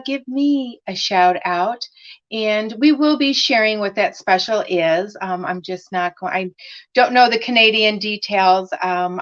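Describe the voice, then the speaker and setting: Woman craft host, unhurried and chatty, a little raspy after laryngitis, dull lo-fi stream audio. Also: mid-range voice. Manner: chatty, greets viewers by name, many fillers. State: recovering from laryngitis, slightly raspy at times. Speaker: craft demonstrator hosting a live stream. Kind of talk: casual live-stream chat with viewers.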